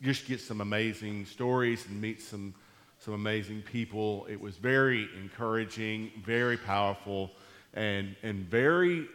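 A man preaching to his church in a folksy, rambling way, his voice gone deep and congested from allergies. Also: measured pace, fairly bright tone, big-room sound. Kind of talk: sermon. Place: church sanctuary.

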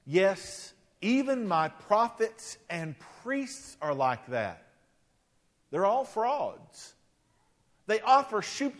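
A man in his forties preaching a sermon in a conversational, self-deprecating way, often checking in with the congregation. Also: on the high side. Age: 40s. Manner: conversational, self-deprecating, frequent "right?" asides. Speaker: pastor. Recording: long hall reverb.

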